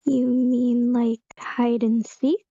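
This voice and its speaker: A woman's voice: on the high side, little pitch movement, takes it slowly, warm tone, dry recording. A woman playing a curious, wary, polite young girl in a screenplay table read, hesitant and questioning, on a slightly muffled microphone. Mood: wary, puzzled.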